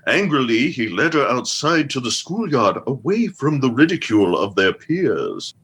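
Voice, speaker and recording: A man playing a vain, pompous gentleman storyteller in a comedy radio play, with a grandiose, florid delivery. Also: medium pitch, very animated, moderate pace, breathy edge, exaggerated voice. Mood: grave storytelling.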